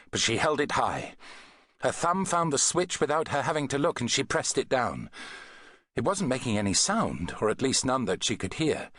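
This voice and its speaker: A man reading an adventure novel as an audiobook narrator, in an expressive, dramatic storytelling manner. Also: medium pitch, no room sound, studio quality.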